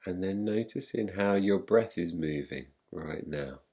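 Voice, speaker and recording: A man guiding a meditation in a calm, gentle, unhurried manner, leaving long pauses between phrases. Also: deep voice, slightly rough, lo-fi sound. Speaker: meditation guide. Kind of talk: guided meditation, yoga nidra.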